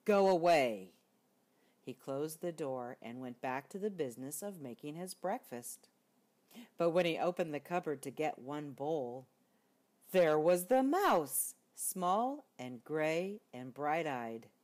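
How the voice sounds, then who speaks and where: Low-pitched older woman, animated storybook reader. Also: takes it slowly. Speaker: grandmother reading aloud. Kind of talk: expressive children's storybook narration.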